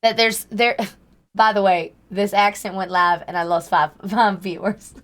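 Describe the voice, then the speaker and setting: A hoarse woman streamer chatting casually with her viewers in a put-on Southern US accent, greeting and thanking them by name. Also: medium pitch, steady tone, unhurried, a bit bright. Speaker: livestreamer. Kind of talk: casual livestream chat with viewers.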